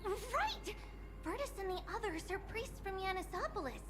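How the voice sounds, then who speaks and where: A woman's voice: very high-pitched, very animated, moderate pace, music in the background. A woman voicing an earnest, upbeat young woman character in a game, pleading and then cheerfully suggesting a song. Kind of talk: game voice acting.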